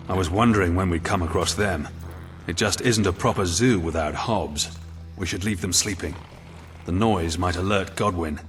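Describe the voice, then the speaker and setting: A man's voice: low voice, fairly bright tone. A man voicing a wary, scornful officer in a video game, speaking articulately and with dry sarcasm. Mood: dry, observant. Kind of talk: scripted video game dialogue. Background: music.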